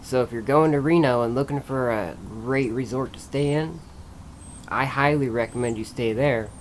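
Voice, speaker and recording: A man with an average-pitched voice talks as a vlogger giving a casual review. His delivery is plain-spoken and geared to recommending. Faint ambient noise sits behind his voice. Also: moderate pace.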